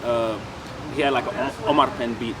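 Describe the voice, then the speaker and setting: A man's voice: medium pitch, fairly even intonation, fairly quick, over a noisy crowd, rough recording. A young man from Senegal, a rapper being interviewed outdoors, talking casually and laid-back, often saying "you know what I mean" and restarting his sentences. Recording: loud street noise and traffic. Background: street noise and passing vehicles.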